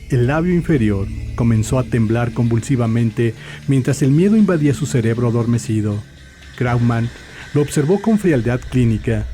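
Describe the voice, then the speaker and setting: Mellow male narrator of dark fiction. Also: mid-range voice. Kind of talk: scripted literary narration of a horror story. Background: faint music.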